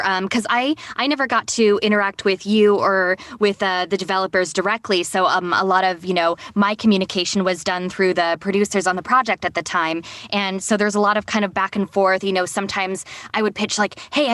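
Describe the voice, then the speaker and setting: A woman's voice: medium pitch, a bit bright, no echo. A woman talking casually and explaining things as a guest on a panel. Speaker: voice actor and casting director, panel guest.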